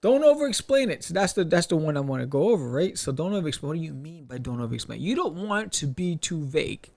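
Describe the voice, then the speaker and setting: A man hosting a podcast, giving casual advice and reading aloud with his own commentary, animated and punctuating points with rhetorical questions. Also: a little high, fast talker, gravelly. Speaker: podcast host.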